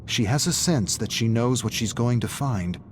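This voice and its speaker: A man narrating a scripted story in a brooding, measured, dramatic way, with phrases that trail off hushed. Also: mid-range voice, expressive, noisy background. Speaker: lore narrator.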